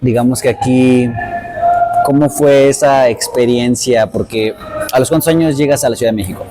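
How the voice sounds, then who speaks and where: A man hosting an interview, asking thoughtful questions in a relaxed, conversational way. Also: mid-range voice, moderate pace, soft background music. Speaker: interviewer, podcast host.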